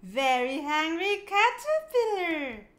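A woman reading a picture book aloud for young children, in a sing-song, clearly enunciated way. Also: extremely high pitch, sing-song, slow pace, fairly bright tone. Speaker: children's storybook reader.

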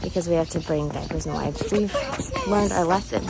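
A woman in her thirties narrating her family's travel vlog in a chatty, lively way, laughing often. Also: on the low side, moderate pace, creaky, heavy background noise. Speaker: family vlogger, mother.

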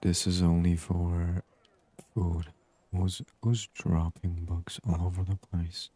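Male gaming host for sleep ASMR: murmured, very flat intonation, husky voice, unhurried. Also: low-pitched, no room sound. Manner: murmured, unhurried, reading on-screen text aloud. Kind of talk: soft-spoken ASMR game commentary.

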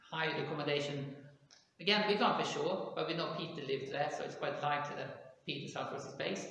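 A man's voice: fairly high pitch, bright, forceful tone. A middle-aged man preaching a sermon from a small church pulpit, explaining in a conversational way and gesturing with his arms. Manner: conversational, explaining with hedges like "kind of" and "if you like". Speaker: pastor. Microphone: pulpit mic on a stand, far camera. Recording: echoey church hall.

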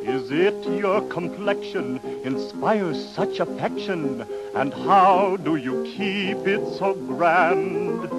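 A man's voice: sits high, lively intonation, slow, few pauses, loud music behind the voice, rough recording. A man singing a 1930s radio jingle in a song parody style. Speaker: singer. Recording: 1930s radio broadcast with band. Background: loud musical accompaniment.

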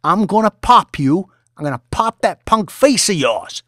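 A man voicing a volatile, bullying young finance boss who shouts and swears at his staff. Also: fairly high pitch, sing-song, moderate pace, no echo, studio quality, voice pushed up. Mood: raging. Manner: shouting, swearing, aggressive New York street talk.

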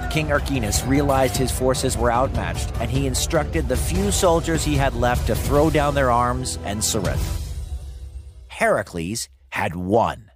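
Animated male storyteller. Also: medium pitch. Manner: wry, casual asides, dramatic emphasis. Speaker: storyteller, podcast host. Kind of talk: scripted narration of a myth for children, conversational.

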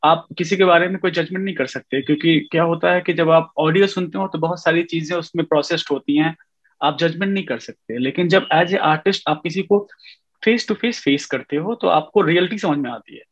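A man's voice: fairly high pitch, normal speed. A man in his thirties, a music composer speaking as an interview guest over a video call, telling his story with lots of fillers and mixing in English. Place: indoor room at home. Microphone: webcam on a video call. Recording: dull lo-fi video call audio.

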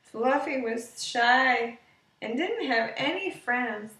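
A woman's voice: fairly high pitch, lively intonation, takes it slowly, sharp tone. A woman in her thirties reading a children's bedtime story aloud in simple narration, recorded on a webcam in a living room. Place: living room with sofa and window, indoors. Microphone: webcam at about arm's length or more. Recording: roomy, distant webcam sound.

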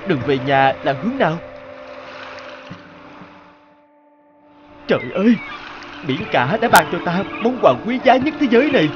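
An actor playing a proud, greedy, obsessive treasure collector in an animated fable, boastful and exclamatory. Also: male voice, high voice, quick pace, highs cut off, background noise. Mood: shaken, awed.

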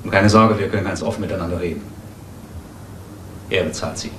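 An actor playing a cold, businesslike man who orders a killing in a crime radio play, measured, formal and matter-of-fact. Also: low-pitched, a bit bright, slight background noise.

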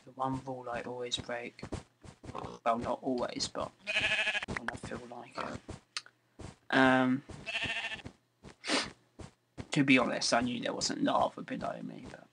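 A man's voice: mid-range voice, steady tone, takes it slowly, pauses often, background noise. A young man with a cold, sniffly and thinking aloud in casual chat as he plays a game. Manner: chatty, thinks aloud while playing, often quiet and murmured. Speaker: let's play host. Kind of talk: casual gameplay commentary. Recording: game music behind the voice. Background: game music.

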